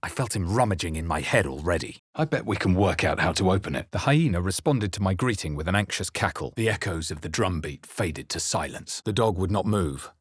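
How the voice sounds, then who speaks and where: An actor playing a grave, brooding male fantasy game hero, speaking in a measured, serious and intense way. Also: low voice, animated, slightly rough, no room sound, studio quality. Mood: terse, wary. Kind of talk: dramatic scripted fantasy game narration and character lines.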